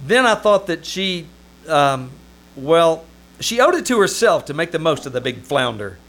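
A man's voice: on the high side, normal speed, creaky, full character voice. A man playing a wry, lovesick and easily flustered Hollywood press agent in an old-time radio comedy performed on a theater stage. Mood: wry narration. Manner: wry, excitable, stammering when flustered. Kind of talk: old-time radio comedy acting with first-person narration. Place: small-town theater stage. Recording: noisy live theater stage recording.

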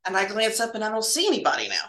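Woman guest, bright voice, casual halting storyteller of eerie hospital tales, echoey room sound. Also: mid-range voice, huge pitch swings. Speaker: guest telling stories of working in hospital laboratories.

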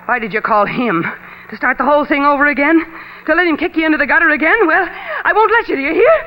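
An actress playing a possessive, jealous woman who clings to her sister and turns shrill and accusing. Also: sing-song, fast talker, sharp tone, exaggerated voice, low-quality recording. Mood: furious. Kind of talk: 1940s melodrama acting.

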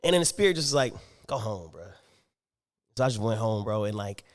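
A man hosting a casual faith talk show, telling a story in a slangy, excitable way and acting out the voices he quotes. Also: fairly low pitch, fairly quick. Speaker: podcast host. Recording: long hall reverb.

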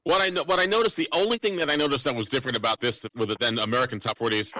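A man's voice: mid-range voice, very fast, pushed and bright, no room sound, poor audio. A man hosting a live radio talk show about radio history, presenting and chatting with the other participants.

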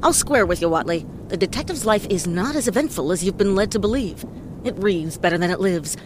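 An actress playing a tough, wisecracking female private detective in a noir audio play, speaking in a deadpan, sardonic way. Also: medium pitch, fairly quick, noisy background, natural voice. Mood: wry, bossy. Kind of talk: hard-boiled noir radio play acting. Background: prominent music.

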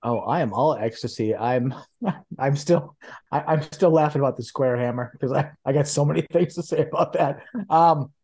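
A man's voice: mid-range voice, moderate pace, darkish tone, husky. A man guesting on a podcast, talking casually with lots of laughs and swearing. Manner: profane, laughing, enthusiastic. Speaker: co-host guest. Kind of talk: casual chat.

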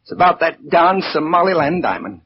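A man's voice: fairly high pitch, lively intonation, fairly quick, lightly acted. An actor playing a fussy, weary old millionaire grandfather in a 1940s radio drama. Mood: fretful. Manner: fussy, fidgety, plaintive. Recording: muffled, noisy 1940s radio recording.

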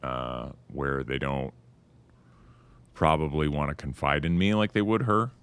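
A man hosting a podcast, chatting in a relaxed, casual way and swearing freely. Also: very deep voice, a little slow, clear, resonant tone. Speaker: podcast host. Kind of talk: casual conversation.

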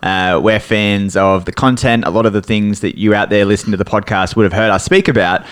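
A man co-hosts a podcast in a fairly low voice. He sounds upbeat and laughs often as he leads into the questions. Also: steady tone, brisk, clean tone. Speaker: podcast co-host. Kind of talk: casual podcast chat.

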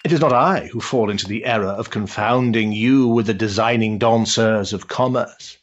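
An actor playing an urbane, cynical older aristocrat in a period stage drama, pompous and sardonic in ornate phrasing. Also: male voice, fairly low pitch, moderate pace, highs cut off, close to an everyday voice. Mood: suave, condescending.

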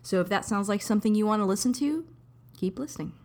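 A woman's voice: mid-range voice, animated, fast. A woman hosting a movie podcast, upbeat and chatty. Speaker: podcast host. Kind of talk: casual podcast chat.

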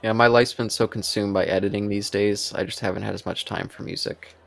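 A man with a fairly low voice chats as a podcast co-host. His delivery is informal and conversational, like talk among friends. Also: fairly even intonation, brisk, vocal fry, fairly bright tone. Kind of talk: casual chat.

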